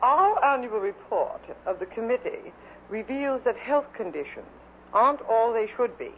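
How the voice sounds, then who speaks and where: Male actor as earnest report reader, lively intonation, thin faintly noisy vintage broadcast. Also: very high-pitched, moderate pace. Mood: grave, formal. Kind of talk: formal mid-century radio reading. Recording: tinny, faintly noisy old radio.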